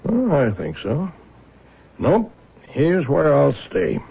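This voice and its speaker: Gravelly old small-town dad, blunt and folksy, really lively pitch, old radio play. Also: male voice, slow, heavily acted. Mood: contented. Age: older. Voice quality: gravelly, husky. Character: plain-spoken older small-town father, friendly county prosecutor. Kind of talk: radio drama acting. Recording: muffled old radio drama recording.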